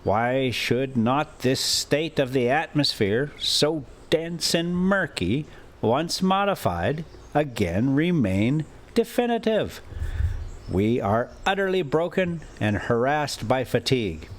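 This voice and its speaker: A man reading a classic adventure story aloud as a storyteller, in deliberate, halting phrases with an informal touch. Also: medium pitch, big pitch swings. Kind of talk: audiobook-style reading with casual asides.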